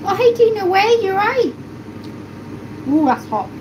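A woman in her thirties with a high voice talks slowly and chattily into a close phone camera while she cooks in a small home kitchen. She hosts a casual live stream and thinks aloud as she works. Loud noise and passing vehicles fill the background. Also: sing-song. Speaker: livestream host.

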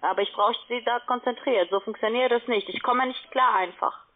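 A woman telemarketer giving an insistent, formal sales pitch by phone, with halting phrasing. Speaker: call centre telemarketer. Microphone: telephone call. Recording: narrow, tinny phone line.

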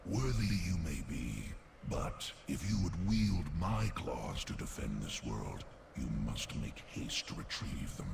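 A very gravelly, deep bear spirit lord. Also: male voice, very animated, takes it slowly, noisy background, full character voice. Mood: grave warning. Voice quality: gravelly, booming growl. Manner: solemn, archaic phrasing. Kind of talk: video game character acting.

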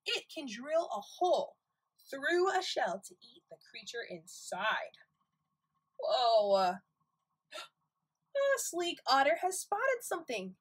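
High-voiced woman reading to young children. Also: slow pace, long pauses, rough recording. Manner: expressive, asks the listeners questions, exclaims. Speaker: nursery school teacher reading aloud. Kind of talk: animated picture book read-aloud for young children.

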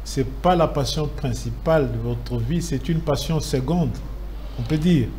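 Mellow-voiced male TV interviewer. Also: mid-range voice, a little slow, a little hiss or hum. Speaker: TV literary show host. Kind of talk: interview questions. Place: TV studio set.

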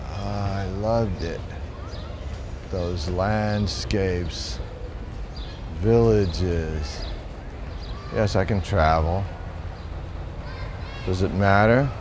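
A man playing a rambling, melancholy middle-aged poet in a theatrical monologue, halting and musing, repeating phrases to himself. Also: low voice, background noise. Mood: rueful, resigned. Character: rambling, melancholy washed-up male poet, wistful and self-doubting. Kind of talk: theatrical monologue with recited verse.

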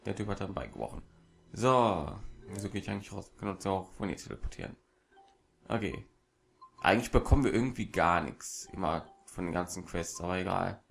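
A man's voice: low voice, fast talker, creaky, slight background noise. A German man giving casual, chatty commentary over a video game he is playing. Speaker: let's player. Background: video game music and sounds.

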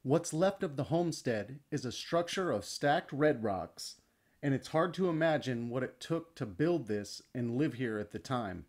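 A man narrating a trail vlog as the channel host, in a calm, even scripted voice-over. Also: mid-range voice, a little slow.

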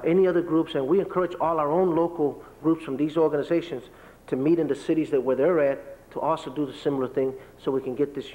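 A man speaking as a panelist in a large lecture hall, explaining at length in a steady way and listing things. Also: fairly high pitch. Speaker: panelist, business association leader. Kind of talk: panel discussion answer. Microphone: table microphone. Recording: reverberant hall, dull lo-fi tape.

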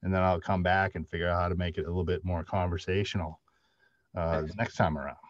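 Middle-aged male panelist, lowish voice, casual delivery, dry and slightly dull call recording. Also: fairly even intonation, fast talker, no echo. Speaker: panelist, audio drama writer. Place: indoor room, on a video call. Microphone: video call microphone.

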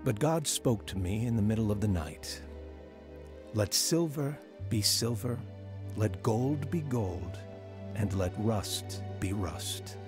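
Earnest american dad telling his testimony, lowish voice, music underneath, radio drama. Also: animated, takes it slowly. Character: earnest repentant father and husband telling his life story. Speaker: lead actor narrating his testimony. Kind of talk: dramatized first-person narration in a radio drama.

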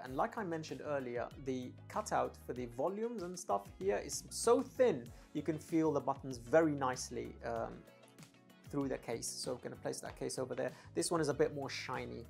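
A man's voice: medium pitch, gravelly. A man in his thirties giving an enthusiastic, emphatic, casual product review to camera as an audio gear reviewer. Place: small home studio room, indoors. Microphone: lavalier clipped at the chest.

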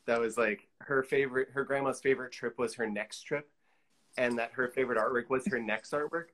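A man's voice: mid-range voice, fairly even intonation, fairly quick, fairly bright tone. Middle-aged man chatting, thinking aloud. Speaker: guest, collage artist. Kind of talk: casual interview chat. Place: indoor room, on a video call. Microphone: video call.

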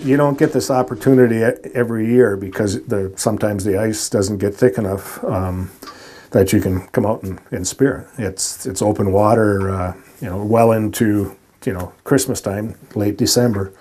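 An older man, explaining spear fishing in a dark ice shack, with many restarts and fillers. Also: lowish voice, moderate pace. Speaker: spear fisherman and decoy carver. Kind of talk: casual explaining on location.

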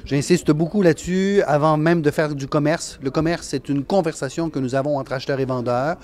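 A man's voice: on the high side, brisk, darkish tone. A man in his fifties, a conference speaker, giving an interview answer. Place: large hotel conference hall. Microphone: handheld reporter microphone.

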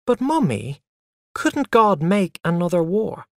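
A man voicing an eager, demanding small boy in a story, loud, insistent and pleading. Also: high-pitched, very animated, slow pace, no room sound, clean studio sound, pitched above the performer's own voice, full character voice. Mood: innocent, pleading.

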